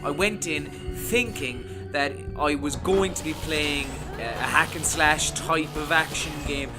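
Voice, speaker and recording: A man's voice: fairly high pitch, measured pace. A man giving a casual, rambling game review, with frequent restarts. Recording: music playing behind.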